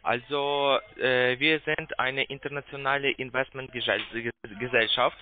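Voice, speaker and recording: A man working as a call center agent, giving a halting, repetitive sales pitch as a non-native German speaker. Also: fairly even intonation. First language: not German. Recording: tinny narrow phone line.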